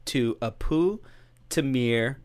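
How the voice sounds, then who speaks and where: A man's voice: medium pitch, slow, smooth. A man joking crudely in casual comedy banter, swearing and stumbling over his words.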